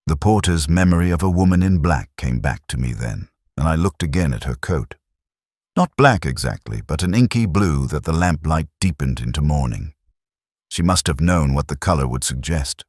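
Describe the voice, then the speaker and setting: A man giving a measured, deliberate first-person reading of a literary detective story, as its narrator. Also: extremely low pitch, expressive, darkish tone, breathy edge, dry recording, clean studio sound.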